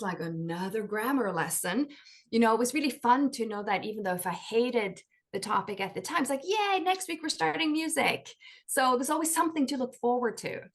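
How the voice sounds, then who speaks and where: Chatty Norwegian-born woman, higher voice. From Norway. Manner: chatty, many "like" fillers, laughs often. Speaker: guest, life coach and author. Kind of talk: casual interview storytelling.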